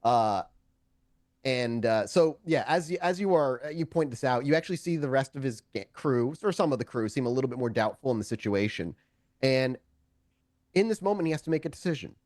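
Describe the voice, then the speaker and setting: Animated, profane male game master. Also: mid-range voice, fairly quick, dry recording, clean studio sound. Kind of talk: improvised tabletop game narration.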